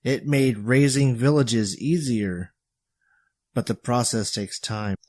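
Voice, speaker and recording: A man voicing a sober, battle-worn bodyguard and mentor in an audio story, measured and earnest. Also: medium pitch, a little mellow, dry recording, pitched above the performer's own voice. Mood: grave.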